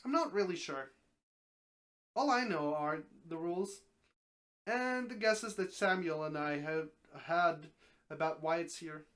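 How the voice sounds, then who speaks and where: A man's voice: on the high side, a little slow, long pauses, fairly bright tone. A man voicing an old, easygoing talking snake in a dramatic story reading, folksy and matter-of-fact. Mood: explaining, easygoing.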